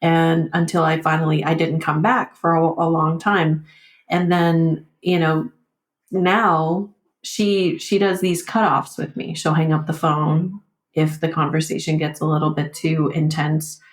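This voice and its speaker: A roomy recording carries a woman's slightly low voice in a candid interview. Vietnamese is her first language. She speaks reflectively and haltingly, circling back and leaning on "like" and "you know" as she works out her thoughts. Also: level tone. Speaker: podcast guest.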